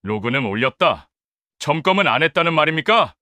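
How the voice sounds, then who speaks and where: A man's voice: a little high, big pitch swings, brisk, pushed and bright, no room sound, studio quality. A man playing a safety manager in an audiobook drama, questioning in a formal, probing manner. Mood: stern. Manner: formal, interrogating.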